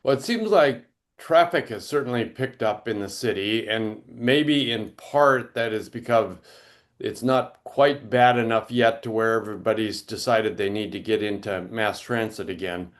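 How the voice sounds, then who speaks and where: A man's voice: lowish voice, normal speed. A man hosting an interview, asking questions in an easygoing, conversational way. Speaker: podcast host. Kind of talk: casual interview talk.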